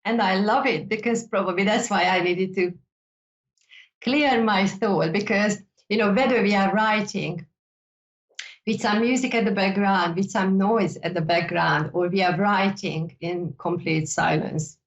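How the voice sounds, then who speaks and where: A woman's voice: medium pitch, unhurried. A woman with a Hungarian accent, native speaker of Hungarian, hosting a psychic show and teaching in a loose, rambling way, often repeating words and adding "you know". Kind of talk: casual teaching talk. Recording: dull lo-fi sound.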